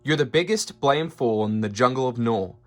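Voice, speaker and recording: A man's voice: medium pitch, expressive, normal speed, close to an everyday voice. A man voicing a sour, disdainful female kangaroo in a storybook, scornful and emphatic. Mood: mocking.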